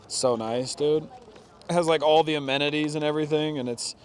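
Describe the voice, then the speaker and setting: Casual, slightly high-voiced man, slangy. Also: steady tone, a little fast, a little hiss or hum. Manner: informal, slangy, says dude. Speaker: motorcycle vlogger. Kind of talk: casual hands-on install talk among friends.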